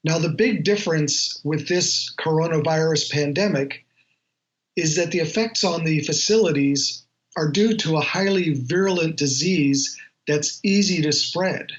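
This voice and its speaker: A man, an aviation journalist, giving measured explanatory answers in an interview, on a slightly lo-fi recording. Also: fairly high pitch.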